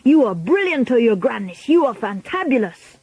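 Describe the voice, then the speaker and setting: A woman voicing an eager, fawning audience of storybook witches, chanting and excitable. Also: a little high, moderate pace, breathy edge, voice pushed up. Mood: fawning excitement.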